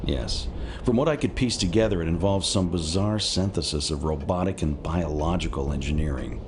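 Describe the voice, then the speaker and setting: A man's voice: deep voice, moderate pace, background noise. A male actor playing an earnest, dutiful military intelligence aide in a video game, speaking formally and precisely. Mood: sober reporting. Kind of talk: video game briefing acting.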